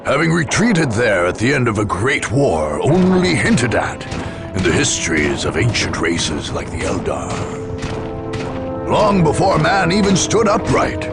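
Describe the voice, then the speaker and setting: A man delivering scripted dramatic narration for a war game's story, in a grave, portentous, measured storytelling manner. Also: mid-range voice, huge pitch swings. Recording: dramatic music behind the voice. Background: music.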